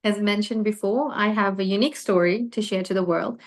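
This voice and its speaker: A woman's voice: mid-range voice, brisk. Young woman on a video call, confident pageant-answer kind of voice, earnest. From Fiji. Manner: poised, earnest, self-assured. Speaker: pageant contestant guest. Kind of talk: interview answers.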